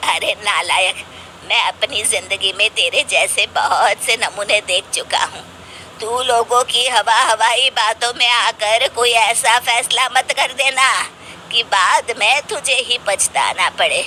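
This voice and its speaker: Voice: mid-range voice, slow pace, sharp tone, slight background noise, low-quality recording. A performer voicing a grumpy, scolding old father in a cartoon, with an exasperated manner. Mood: scornful scolding. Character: grumpy, scolding old father, stubbornly against going abroad.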